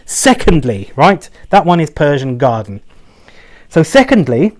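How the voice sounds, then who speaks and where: A man's voice: a little high, very animated, unhurried. Chatty man reviewing perfume oils. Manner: rambling and chatty, often tagging "right?". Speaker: fragrance reviewer. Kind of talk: casual review vlog.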